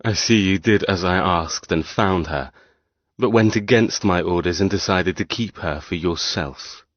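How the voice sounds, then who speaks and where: A man's voice: deep voice, measured pace, fairly bright tone, no echo, highs cut off. A man playing a possessive, commanding firstborn brother in an audiobook, speaking in a clipped, domineering way. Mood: cold, possessive. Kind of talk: audiobook character dialogue.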